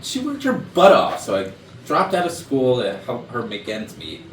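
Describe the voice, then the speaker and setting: A man's voice: mid-range voice, wildly swooping pitch, moderate pace. A man playing a defensive, blustering office executive, indignant and self-justifying, in a staged murder mystery comedy. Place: rehearsal room for a staged reading. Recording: echoey room, distant mic, background noise.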